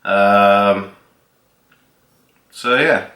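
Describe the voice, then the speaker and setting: Congested young male vlogger, lowish voice, loose and rambling Q&A delivery. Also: drawn-out words. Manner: relaxed, rambling with frequent ums and coughs. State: sick, congested and sore-throated. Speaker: powerlifter vlogger answering viewer questions. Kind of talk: casual Q and A vlog. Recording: echoey room.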